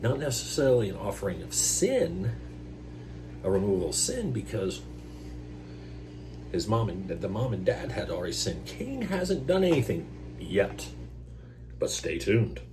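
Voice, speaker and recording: A man hosting a scripture reading, stopping for casual, self-deprecating asides and clearing his throat through his allergies. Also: on the low side, sing-song, a little slow, pauses often. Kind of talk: Bible reading with informal commentary. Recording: noisy recording with electrical hum.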